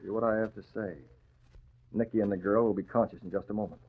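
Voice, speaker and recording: An actor playing a cool, commanding masked vigilante in a 1940s radio drama, giving clipped, taunting orders. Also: male voice, fairly low pitch, fairly even intonation, fast talker, husky. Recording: muffled tinny vintage radio recording.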